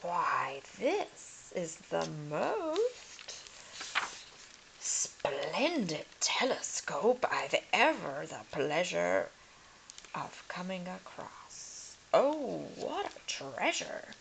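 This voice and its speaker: A woman voicing a nosy, greedy old male school head in a storybook, grand and blustering, higher and louder than her reading voice. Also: sing-song, slow pace, few pauses, a little hiss or hum, exaggerated voice, rough recording. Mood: pompous.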